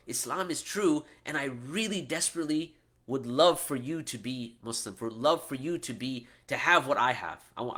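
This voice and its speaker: A man's voice: medium pitch, pushed and bright. A middle-aged man, an Islamic teacher, answering questions in a casual talk, often ending points with "right?". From United States. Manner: conversational, frequent "right?" tag questions and restarts.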